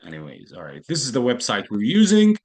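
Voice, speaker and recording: A man's voice: mid-range voice, huge pitch swings, fairly quick, darkish tone. A man teaching an online English class, patiently repeating key phrases and explaining idioms, speaking into a computer mic. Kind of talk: online lesson, explaining slowly. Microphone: computer mic on a video call, camera off.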